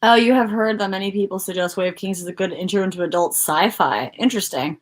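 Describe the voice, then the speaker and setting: A woman's voice: mid-range voice, fast talker. A woman co-hosting a book livestream, chatting casually in a rambling, informal way. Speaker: co-host of a book discussion livestream.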